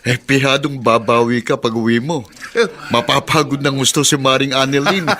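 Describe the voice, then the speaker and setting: A man's voice: medium pitch, fairly even intonation, moderate pace, pushed and bright, close to an everyday voice. An actor playing a teasing, friendly overseas worker and father in a radio drama, with a Filipino accent. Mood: chummy, teasing.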